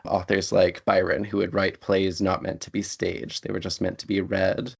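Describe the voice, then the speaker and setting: A writer talks thoughtfully as an interview guest. The voice sits low with plenty of creak in it. The sound is a little dull and lo-fi. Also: little pitch movement, moderate pace. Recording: dull lo-fi audio.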